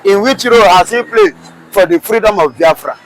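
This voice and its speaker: A man's voice: sits high, a little fast, a little hiss or hum, rough recording. A man with a Nigerian accent, arguing passionately in a street interview with many rhetorical questions and restarts.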